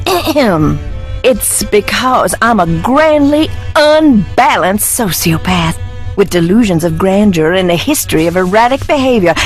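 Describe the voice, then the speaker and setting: A woman playing an unhinged, eccentric young woman in a video game, with dramatic drawn-out phrasing and sudden swerves. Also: medium pitch, close to an everyday voice.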